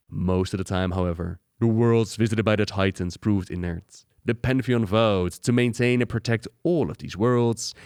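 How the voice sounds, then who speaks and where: Low-voiced male explainer, dry sound. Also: darkish tone, smooth, dry recording. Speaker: video host. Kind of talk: scripted explainer narration.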